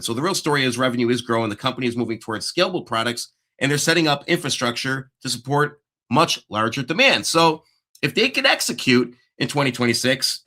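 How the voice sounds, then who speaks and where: Bright-voiced man hosting a stock stream. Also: medium pitch. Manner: chatty, self-interrupting, checks the live chat. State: losing his voice. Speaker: stock market show host. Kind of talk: casual stock analysis livestream.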